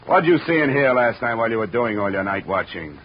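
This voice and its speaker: An actor playing a tough, wry insurance investigator in an old radio detective drama, clipped and dry. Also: male voice, medium pitch, very animated, fast, natural voice. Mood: needling. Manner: clipped, hard-boiled, dry. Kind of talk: 1950s radio detective acting with narration. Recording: noisy, muffled old radio recording.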